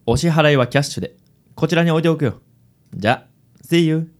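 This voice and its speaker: A radio drama heartthrob played by an actor, a suave and gallant man with a medium-pitched voice. He speaks smoothly and theatrically, with flowery flourishes and bits of English. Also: a little fast, lightly acted. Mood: flirtatious, gallant. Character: suave, gallant playboy man nicknamed Handsome.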